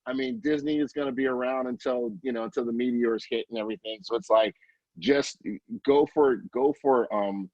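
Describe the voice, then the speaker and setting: A man's voice: medium pitch, no room sound. Middle aged guy chatting casually as a guest educator, stop and start, kinda dull lofi audio. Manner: halting, self-interrupting, informal and animated asides. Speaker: early childhood educator, guest. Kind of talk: casual interview answers, recommending.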